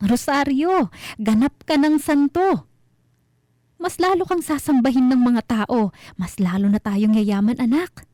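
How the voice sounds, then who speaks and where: A woman's voice: high-pitched, unhurried, long pauses, warm tone, no echo, clean studio sound. A woman storyteller voicing a greedy father who gleefully coaxes his daughter in a radio horror tale. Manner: gleeful, coaxing.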